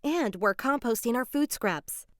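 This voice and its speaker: Woman voicing a bossy, high-pitched schoolgirl eco-crusader. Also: lively intonation, a little slow, fairly bright tone, no echo, studio quality. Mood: earnest, insistent.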